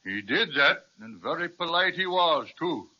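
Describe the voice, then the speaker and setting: A man's voice: fairly high pitch, very animated, unhurried, sharp tone, heavily acted. A man playing a jolly, polite roly-poly toy policeman with an Irish accent and folksy, hesitant manner in a children's radio serial. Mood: sheepish. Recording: dull old radio recording.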